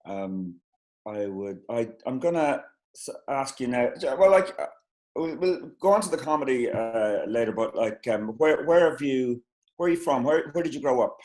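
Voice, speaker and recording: Male comedy show host, mid-pitched, halting question style, roomy echo. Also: lively intonation, darkish tone. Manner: stumbling, many fillers and restarts. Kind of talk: casual interview.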